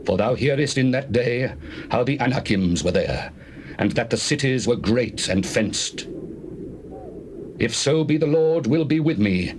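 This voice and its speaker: A man playing an eighty-five-year-old Israelite warrior, steadfast and devout, in a solemn, declamatory scripture drama. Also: mid-range voice. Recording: noisy old cassette tape recording.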